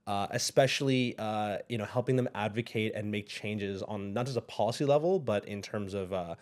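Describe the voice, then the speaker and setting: A young man as a guest on an interview show, speaking thoughtfully, pausing often and restarting his sentences. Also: on the low side. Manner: thoughtful, hesitant, many fillers and restarts. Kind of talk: casual interview.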